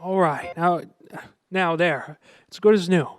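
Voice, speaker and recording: A harried family man of a classic radio play. His voice sits high and very dry, and he stammers through his words, frustrated but tender underneath. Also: measured pace, clear, resonant tone, no echo, soft background music. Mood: gentle. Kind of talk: old-time radio drama acting.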